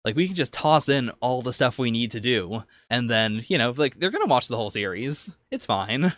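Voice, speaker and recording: A man's voice: medium pitch, animated, moderate pace, fairly bright tone, no echo. A man co-hosting a podcast, talking casually and conversationally in a review discussion. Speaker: podcast co-host.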